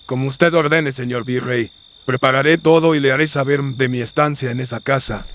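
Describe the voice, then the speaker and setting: A man plays a brave, obedient colonial friar in a horror radio drama. He speaks in a mid-pitched voice, formal, earnest and deferential. There is clear noise with clicks and crickets behind him. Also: steady tone, brisk, a bit bright, lightly acted. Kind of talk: audio drama acting.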